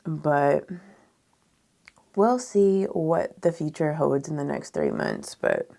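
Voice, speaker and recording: A woman in her thirties with a froggy, raspy voice, chatting candidly and ramblingly as a beauty vlogger doing a makeup tutorial indoors. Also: sits low, huge pitch swings, slow, warm tone. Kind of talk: casual chat during makeup tutorial. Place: small furnished room indoors.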